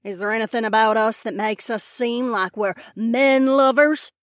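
A woman's voice: on the high side, fairly even intonation, normal speed, dry recording, studio quality, lightly acted. A woman playing a severe, smirking female outlaw leader in an audiobook, with a cocky, mocking drawl. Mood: mocking, amused. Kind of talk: audiobook character dialogue.

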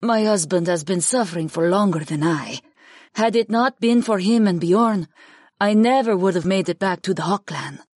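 A woman's voice: medium pitch, normal speed, darkish tone, no room sound, studio quality, natural voice. A woman voicing a wary, earnest young female hawk shapeshifter in an audiobook, with careful formal phrasing. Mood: worried, pleading. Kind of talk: audiobook character dialogue.

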